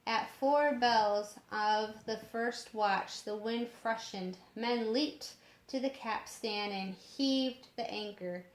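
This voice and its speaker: A woman reading a story aloud in a measured way, sometimes stumbling over harder words, in an echoing room. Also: fairly high pitch. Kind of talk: storybook narration. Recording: roomy echoing home recording.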